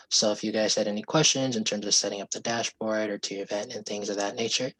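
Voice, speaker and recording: A hoarse man explaining software pricing and support on a video call, polite and steady. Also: fairly low pitch, slight background noise, poor audio. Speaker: sales representative giving a software demo. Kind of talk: video call sales pitch.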